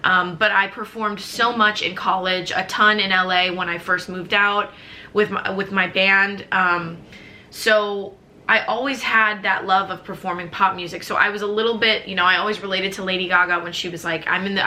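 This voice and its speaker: Bright, chatty American woman vlogger. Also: mid-range voice, fairly even intonation, normal speed, a little hiss or hum. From Chicago suburbs, USA. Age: 20s. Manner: rambling, upbeat, lots of fillers and restarts. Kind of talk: casual chatty vlog talk.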